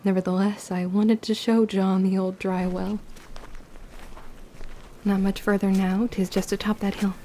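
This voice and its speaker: A woman's voice: medium pitch, level tone, measured pace, long pauses, dark tone, a little hiss or hum, natural voice. A woman playing a guarded, wounded young witch girl who tells her story in formal period speech in an audio drama. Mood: wistful. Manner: archaic, formal period diction. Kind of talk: confessional first-person audio drama narration and dialogue.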